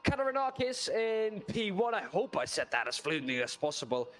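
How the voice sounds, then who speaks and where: A man's voice: high-pitched, very animated, moderate pace, fairly bright tone. A man doing live commentary on a sim race, chatty and putting questions to his co-commentator.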